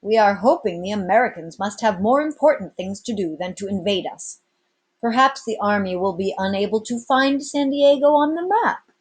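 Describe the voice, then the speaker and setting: A weary storybook judge, voiced by a woman, formal and plaintive. He sounds helpless and resigned, with pitch slightly raised. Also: expressive, moderate pace, slightly rough.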